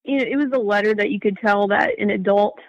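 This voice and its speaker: A woman's voice: medium pitch, fast talker, no room sound, low-quality recording. A young adult woman telling her personal story casually as an interview guest.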